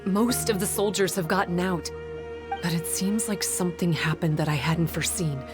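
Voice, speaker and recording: A woman playing a calm, analytical guide in a video game, speaking in a measured, formal way. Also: fairly low pitch, over music, close to an everyday voice. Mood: composed. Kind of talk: scripted game cutscene dialogue.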